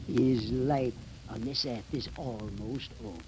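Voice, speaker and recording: Sinister pompous professor, slow. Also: male voice, mid-range voice. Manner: pompous, portentous, deliberate. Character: sinister, pompous male professor of occult elixirs. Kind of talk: 1930s radio horror acting. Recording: noisy old 1930s radio recording.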